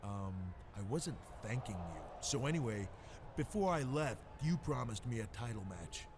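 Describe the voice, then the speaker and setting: A man's voice: medium pitch, very animated, normal speed. A man playing a cocky, hot-tempered pro wrestler in a video game, blunt and confrontational. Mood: confident. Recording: noisy game audio.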